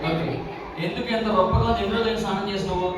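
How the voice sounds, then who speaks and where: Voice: mid-range voice, fairly even intonation, racing, a loud crowd. Children's puppet show host, teasing questions, live banter, distant mic, very noisy crowded hall. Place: large indoor room or hall.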